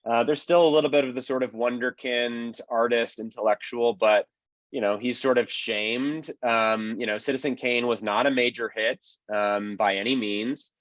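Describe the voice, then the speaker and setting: Man, mid-pitched, analytical panel commentary, informal, explanatory, dry slightly muffled sound. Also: fairly even intonation, moderate pace, no room sound. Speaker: panelist, old-time radio historian.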